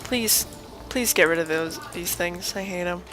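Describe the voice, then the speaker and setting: A woman's voice: fairly low pitch, a little slow, a bit bright. A woman streaming a game with casual commentary, muttering asides to herself and to the game. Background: game music.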